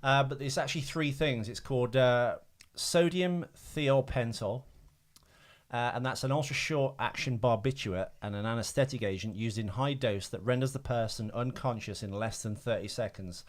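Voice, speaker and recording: A man's voice: medium pitch, normal speed. A man hosting a casual film trivia podcast, explaining things in a hesitant, informal way. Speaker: podcast host.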